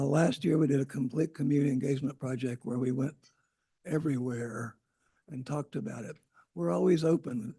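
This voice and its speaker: Gravelly, husky man presenting at a meeting. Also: mid-range voice, mellow. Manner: rambling, informal, self-correcting. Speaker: transit agency presenter. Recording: Zoom meeting audio.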